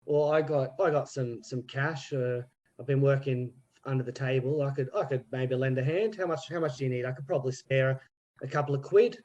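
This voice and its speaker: Brief: man improvising a rambling British small-time crook, mid-pitched, on a dull lo-fi mic. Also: a little fast, darkish tone, lightly acted. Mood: scheming. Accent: put-on British. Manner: rambling, full of restarts and slang. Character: scheming slangy British male petty crook. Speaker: improv player. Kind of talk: improvised comedy scene.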